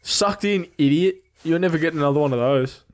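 A man's voice: on the high side, brisk. A man co-hosting a podcast in casual, crude, teasing banter and laughing often. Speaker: podcast co-host.

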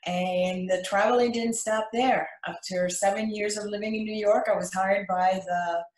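Interview guest: older woman from Cuba, medium pitch, measured storyteller, recorded with noticeable room echo. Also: fairly even intonation, a little mellow. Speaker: interview guest, retired flight attendant. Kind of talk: recounting life story in casual interview. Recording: echoey, roomy sound.

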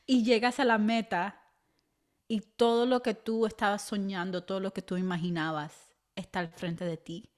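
A young woman co-hosting a podcast, speaking in an earnest, reflective way. Her voice sits at a middle pitch, and she breaks into light laughs now and then. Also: flat delivery, normal speed. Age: young adult. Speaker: podcast co-host. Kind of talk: casual chat.

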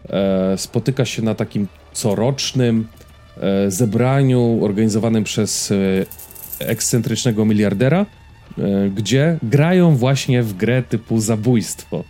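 A man's voice: on the low side, a little slow, dark tone, soft background music. A man giving a casual podcast-style film review as a co-host, searching for words and checking in with his listener.

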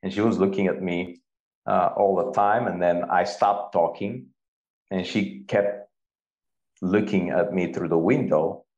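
Echoey Bulgarian-accented man, low voice. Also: steady tone, normal speed, a little mellow, lo-fi sound. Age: 40s. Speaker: interview guest. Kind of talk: personal storytelling in an interview. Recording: echoey room.

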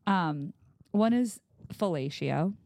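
A woman co-hosting a casual podcast in a relaxed way, reading out listener comments. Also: lowish voice, takes it slowly, creaky, no echo, studio quality. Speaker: podcast co-host.

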